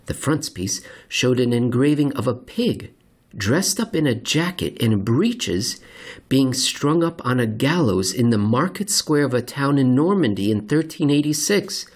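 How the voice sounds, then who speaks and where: Husky man reading an essay aloud. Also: medium pitch. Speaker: podcast host reading aloud. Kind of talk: scripted narration, dramatic essay reading.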